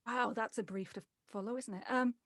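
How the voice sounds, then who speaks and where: A woman's voice: fairly high pitch, fairly even intonation, a little fast, no room sound, studio quality. A woman, a voice actor and producer, chatting casually and jokingly in a group interview over a video call. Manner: joking, self-deprecating.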